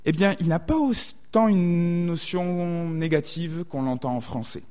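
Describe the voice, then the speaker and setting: A man's voice: on the high side, a little slow, darkish tone, smooth. A man with a southwestern French accent preaching a sermon, thoughtful and often hesitating to reword his thoughts.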